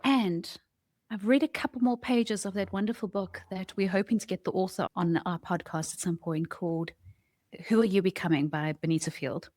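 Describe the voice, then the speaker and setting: A woman's voice: fairly low pitch, steady tone, moderate pace, a little mellow, dry recording. Woman podcast co-host, dry close sound. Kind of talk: conversational podcast talk.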